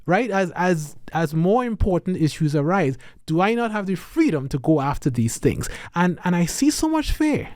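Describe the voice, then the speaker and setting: A man's voice: sits high, big pitch swings, moderate pace, few pauses, a little mellow, no room sound, clean studio sound. A man with a Trinidadian accent talking in a podcast conversation, earnest and reflective, often checking in with "right?" and restarting his sentences.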